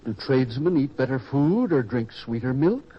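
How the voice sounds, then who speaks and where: An actor playing an older, kindly and worried farmer father in an old-time radio drama, earnest and measured as he pleads. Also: medium pitch, lively intonation, breathy edge. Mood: earnest pleading. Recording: dull lo-fi radio recording.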